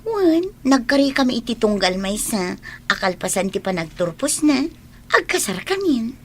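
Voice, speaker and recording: A woman playing a trusting, stubbornly loyal young woman in love in a radio drama, expressive, with an Ilocano accent. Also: fairly high pitch, normal speed. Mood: earnest, protesting. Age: young adult. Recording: noticeable background noise.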